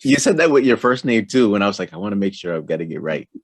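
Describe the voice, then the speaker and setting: Deep-voiced man hosting an interview. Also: fast talker, no room sound. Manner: relaxed, friendly questions with frequent fillers. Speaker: interview show host. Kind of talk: casual interview.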